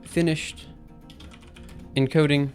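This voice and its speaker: A man with a slightly high, slightly raspy voice talks his way through his code on a livestream, chatty and upbeat as he goes. Keyboard clicks and faint music play underneath. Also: little pitch movement, slow pace, darkish tone. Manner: thinks aloud while typing, chatty and upbeat. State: slightly raspy after a drink. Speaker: programming livestreamer. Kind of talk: live coding stream, casual talk-through.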